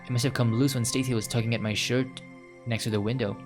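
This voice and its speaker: A man's voice: mid-range voice, fairly even intonation, quick pace, over music, lightly acted. A man voicing a chatty, flustered, scatterbrained young woman in a visual novel, rambling and gushing. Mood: indignant, rambling.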